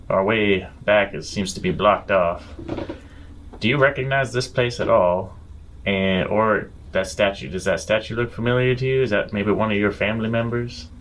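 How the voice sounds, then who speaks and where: A man with a fairly low voice plays a tabletop roleplaying game. He phrases things casually and with some hesitation, over a faintly noisy background. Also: a bit bright. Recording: clear background noise.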